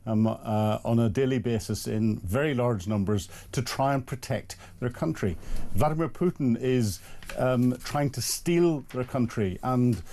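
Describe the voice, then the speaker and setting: A man giving panel commentary on a TV debate, measured and hesitant, with many ums and uhs. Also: mid-range voice, warm tone.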